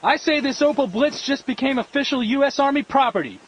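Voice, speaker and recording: A man playing an excitable, anxious young American army radio operator with a strained voice. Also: very high-pitched, brisk. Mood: eager. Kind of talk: war game dialogue.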